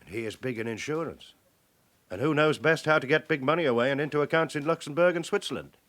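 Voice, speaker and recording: An actor playing a suspicious, methodical police chief inspector in a radio drama, reasoning deliberately through leading questions. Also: male voice, on the high side, a bit bright, lightly acted. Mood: pensive, pointed. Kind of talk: naturalistic radio drama acting.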